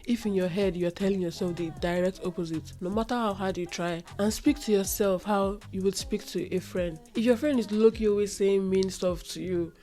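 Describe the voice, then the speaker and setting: Woman host for a solo self-help podcast. Warm, mellow tone, slightly nasal from a runny nose. Earnest and reflective, and she repeats lines for weight. Faint music underneath. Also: mid-range voice, steady tone, moderate pace, hardly any pauses. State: recovering from a runny nose, itchy throat. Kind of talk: solo motivational podcast talk.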